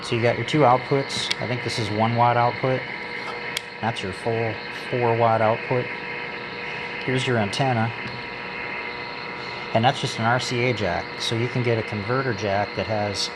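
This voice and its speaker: A man giving a casual, unscripted hands-on gadget demonstration, halting and pausing as he handles things. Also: mid-range voice. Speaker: product reviewer. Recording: steady background hum and noise.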